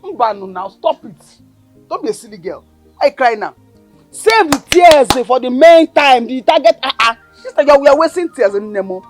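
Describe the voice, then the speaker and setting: A woman's voice: high voice, lively intonation, moderate pace, soft background music. An actress playing a scornful older sister who bullies and accuses her sibling. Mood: scolding. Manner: taunting, shouting insults.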